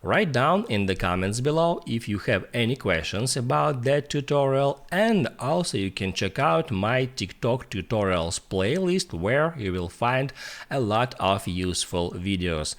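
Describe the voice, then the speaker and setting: A man who is a non-native English speaker narrates a step-by-step tutorial in a sing-song, halting way. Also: mid-range voice, very animated. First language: not English. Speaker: tutorial presenter.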